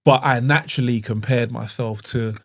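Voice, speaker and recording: A young man co-hosts a podcast in a relaxed, slangy manner. His voice has a strong gravelly fry and sits at a middle pitch. The recording is close and dry. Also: moderate pace, no room sound. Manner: laid-back, reflective, slang-heavy. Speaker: podcast co-host. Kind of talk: casual podcast chat.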